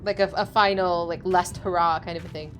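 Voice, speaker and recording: A young woman streaming a game, chatting excitedly with a slightly squeaky voice, over faint game music. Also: a little fast. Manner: excitable, chatty, laughing often. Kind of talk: casual chat while playing a game.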